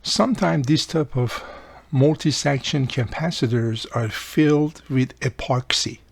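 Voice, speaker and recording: A man casually hosting a hands-on repair tutorial, explaining step by step and repeating key points, with a gravelly, husky voice. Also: medium pitch, unhurried, no echo. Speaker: radio repair video host.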